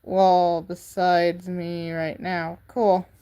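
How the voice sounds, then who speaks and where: Slow, rambling teen girl streamer. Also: on the low side, flat delivery, dark tone, smooth. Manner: rambling, filler-heavy with many "like" and "um"; chatty and talks straight to viewers. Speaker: livestream host. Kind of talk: casual livestream chat showing handmade crafts.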